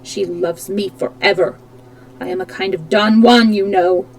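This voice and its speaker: A woman's voice: medium pitch, a little slow, creaky, low-quality recording. A woman reading aloud, voicing a tormented, disfigured masked man who roars, taunts and pleads. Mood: taunting. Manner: roaring, theatrical, alternately pleading and menacing.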